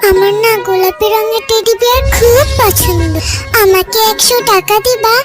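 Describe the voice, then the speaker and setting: A woman's voice: very high-pitched, fairly even intonation, moderate pace. A woman voicing a sweet, wheedling little girl in a children's cartoon. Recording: loud music behind.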